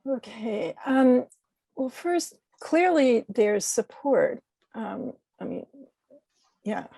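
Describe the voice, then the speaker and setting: A woman's voice: a little high, expressive, drawn-out words, gravelly, warm tone, breathy edge, dry recording. A woman from the United States with an American accent, giving conversational commentary as an interview guest.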